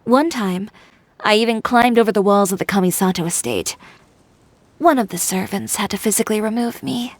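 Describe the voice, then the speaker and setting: A young woman who designs fashion, in a video game story. Her voice sits at a middle pitch. She is assertive and proud, with a frank, measured and wry delivery. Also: lively intonation, no room sound. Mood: reminiscing.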